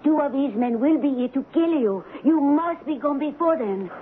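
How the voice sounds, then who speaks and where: A woman's voice: high voice, expressive, moderate pace, phone-quality sound. Anxious noblewoman, urgent and earnest. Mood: urgent, secretive. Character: anxious, secretive noblewoman mistress warning of murder. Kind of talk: 1970s radio drama acting. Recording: thin, noisy old radio broadcast.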